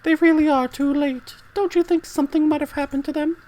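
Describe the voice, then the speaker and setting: A proper, anxious lady played by a woman in an amateur radio-play style. Her voice is very high, and her wording is formal and precise. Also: steady tone, fairly quick, dark tone, natural voice. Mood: worried, reproachful. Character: anxious, proper woman, impatient yet sympathetic. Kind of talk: amateur dramatic reading.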